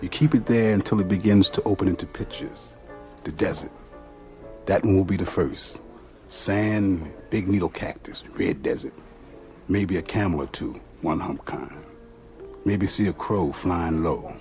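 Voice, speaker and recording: Radio-play mystic: very low voice, folksy, dreamy, easygoing eccentric, music underneath. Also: male voice, a little slow, a little mellow. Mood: dreamy, instructive. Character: Mojo, laid-back, dreamy, eccentric mystic man. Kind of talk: radio drama acting.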